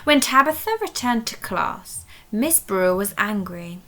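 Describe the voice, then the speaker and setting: A woman reading a children's story aloud, expressive and dramatic, speaking directly to young listeners. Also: mid-range voice, unhurried. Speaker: story reader at a primary school.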